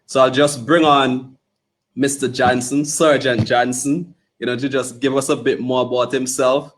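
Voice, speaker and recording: A man's voice: mid-range voice, level tone, moderate pace, poor audio. A man hosting an interview podcast, talking in a casual, playful way with lots of "you know" and "okay". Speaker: podcast host.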